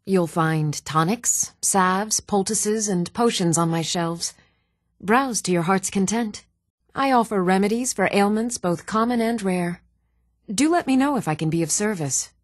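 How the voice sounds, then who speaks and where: An actress playing an even-toned townswoman and shopkeeper in a fantasy video game, speaking in measured, clearly enunciated, theatrical phrases. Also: medium pitch, no room sound, studio quality, close to an everyday voice. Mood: helpful, businesslike. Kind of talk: scripted video game voice acting.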